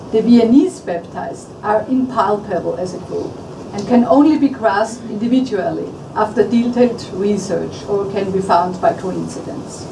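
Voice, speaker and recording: Brief: a woman lecturer presenting research in measured, formal speech; roomy hall sound with clear background noise. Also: fairly high pitch. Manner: measured and formal, with occasional restarts. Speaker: historian giving a lecture. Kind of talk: reading a prepared academic paper aloud. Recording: echoey hall, distant mic, audible room noise.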